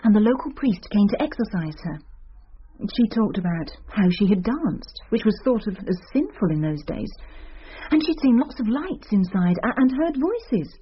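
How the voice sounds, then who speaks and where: Husky, confiding woman teacher. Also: mid-range voice, big pitch swings, moderate pace, lo-fi sound. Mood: confiding, hushed intrigue. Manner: conspiratorial, storytelling. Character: confiding female schoolteacher sharing local folklore. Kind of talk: radio drama dialogue.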